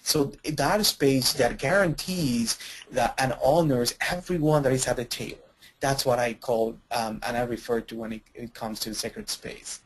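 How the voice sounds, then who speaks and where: Male webinar presenter, somewhat husky, halting and full of restarts. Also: medium pitch, low-quality recording. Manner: halting, many fillers and restarts. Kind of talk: webinar talk.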